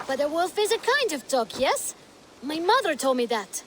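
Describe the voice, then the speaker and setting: Earnest, shy game child, swooping high voice, apologetic and gentle, faint noise behind. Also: female voice, very animated, normal speed.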